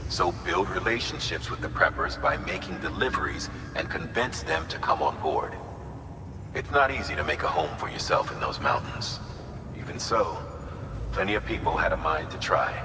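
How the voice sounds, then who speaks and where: A man playing a gruff, authoritative commander giving a mission briefing in a video game, measured and firm with folksy turns of phrase. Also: low voice. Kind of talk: dramatic video game dialogue. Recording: echoey hall with noisy background.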